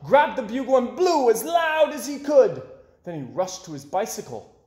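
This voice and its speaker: A man's voice: a little high, darkish tone. A man, reading a children's story aloud with dramatic emphasis. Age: 20s. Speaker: storybook reader. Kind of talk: expressive children's read-aloud narration. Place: small indoor room with bookshelves. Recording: roomy indoor echo.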